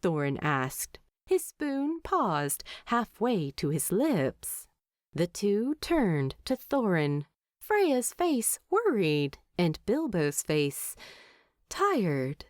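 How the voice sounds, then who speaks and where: A woman narrator reading fan fiction aloud in an expressive storytelling style. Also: medium pitch, drawn-out words, dry recording, clean studio sound. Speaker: audiobook narrator.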